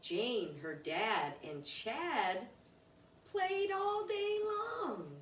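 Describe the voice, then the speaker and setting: A woman reading a rhyming children's picture book aloud in an expressive, deliberate way for young listeners. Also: fairly high pitch. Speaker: storybook reader. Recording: roomy, distant mic with background noise.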